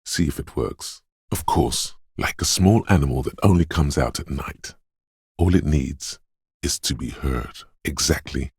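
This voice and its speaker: A man voicing a brooding, dreamlike inner voice of intuition in a video game, speaking in a slow, hushed, portentous and theatrical way. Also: dry recording, studio quality, exaggerated voice. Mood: earnest, melancholy. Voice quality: very deep, husky, gravelly whisper-edged rumble. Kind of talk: dramatic video game voice acting. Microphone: close, dry studio microphone.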